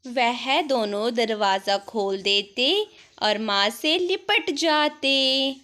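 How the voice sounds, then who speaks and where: A woman tells a scripted story for children in a slightly high voice. She narrates at an extremely slow, measured pace, like a storyteller reading to small kids. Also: expressive, bright, forceful tone.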